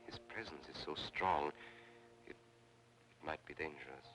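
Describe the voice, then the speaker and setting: An actor playing a smooth, controlling spiritualist medium, speaking in measured, theatrical phrases in a mid-century film noir style. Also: male voice, very deep voice, sharp tone. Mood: solemn, hypnotic. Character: smooth, solemn male spiritualist medium, softly persuasive and controlling. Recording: old film soundtrack, noisy background hiss.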